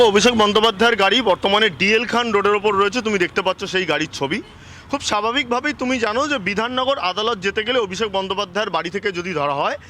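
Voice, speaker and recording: A male field reporter with a very high, bright, forceful voice gives live commentary. He has a West Bengal Bengali accent and circles back over his points, hesitating as he goes. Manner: hesitant, repetitive, running account.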